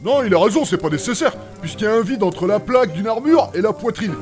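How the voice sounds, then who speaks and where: A man's voice: high-pitched, expressive, fast talker, faint music behind. A man playing a blunt, foul-mouthed, mocking adventurer in a comedic fantasy audio drama. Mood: exasperated. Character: gruff, crude, mocking male adventurer, bluntly macho.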